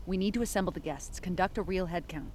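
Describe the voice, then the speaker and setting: A woman's voice: fairly low pitch, quick pace, a little mellow, close to an everyday voice. An actress in an audio drama playing a tough, blunt woman investigator who speaks assertively. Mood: determined. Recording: noticeable ambient noise behind.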